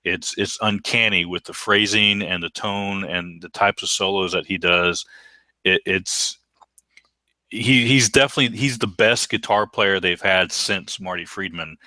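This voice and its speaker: A middle-aged man with a baritone voice, co-hosting a casual podcast chat and speaking with enthusiasm. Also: steady tone, normal speed, pushed and bright, no echo. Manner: enthusiastic, repeats words when restarting. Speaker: podcast co-host.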